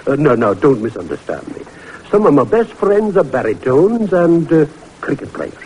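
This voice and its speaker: Casting: skeptical senior professor, male, musing and drily humorous, lively pitch swings, vintage radio hiss. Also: moderate pace, rough recording. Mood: dry, teasing. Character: wry, skeptical senior professor, gently teasing younger men. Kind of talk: old-time radio drama acting.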